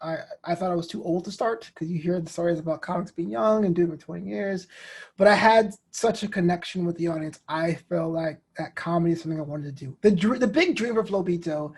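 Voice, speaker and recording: Husky-voiced man in his thirties, storytelling. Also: high voice, quick pace, a little mellow. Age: 30s. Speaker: guest, comedian and show host. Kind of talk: animated interview storytelling.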